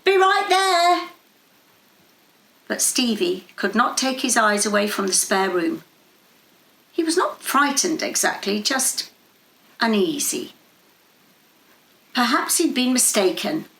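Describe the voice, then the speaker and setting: Creaky-voiced woman narrating a story. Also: mid-range voice, animated, a little slow, long pauses, fairly bright tone, roomy sound. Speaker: story reader. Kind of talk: scripted literary narration.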